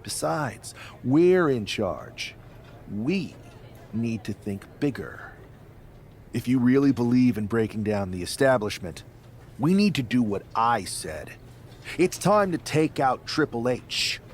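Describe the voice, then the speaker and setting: Insistent, ambitious wrestler, lively intonation. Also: male voice, medium pitch, slow, slight background noise. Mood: challenging, insistent. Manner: challenging, insistent. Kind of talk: dramatic video game cutscene dialogue.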